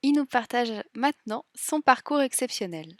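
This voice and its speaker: A woman, the host and a French teacher, giving a scripted podcast intro in an upbeat, energetic way. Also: a little high, normal speed, no room sound, clean studio sound.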